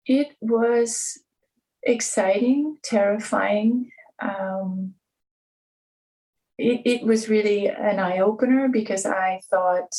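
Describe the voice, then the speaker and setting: A woman from Ontario living in Switzerland, speaking as a podcast guest with an accent flattened by years abroad, thoughtful and hesitant with many fillers. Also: medium pitch, slow, recorded from a distance, poor audio. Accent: Canadian English flattened by life in Switzerland. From Ontario, Canada.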